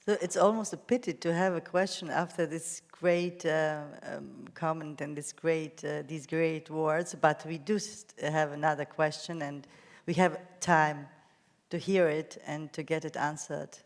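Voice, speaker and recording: A woman's voice: low-pitched, steady tone, unhurried. A woman moderating a live panel discussion, speaking unscripted. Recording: echoey hall with long reverb.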